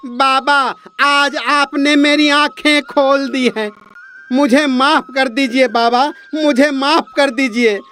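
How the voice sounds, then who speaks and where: A man voicing a naive, pestering drunken villager in a Hindi moral cartoon, with insistent, drawn-out speech. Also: extremely high pitch, steady tone, bright, forceful tone, heavily acted. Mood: remorseful, pleading.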